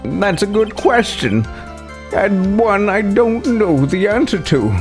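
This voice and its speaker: Casting: male streamer as excitable, theatrical courtroom game figure, pitched well up, fretful, very animated. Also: slow, soft background music, exaggerated voice. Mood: uneasy.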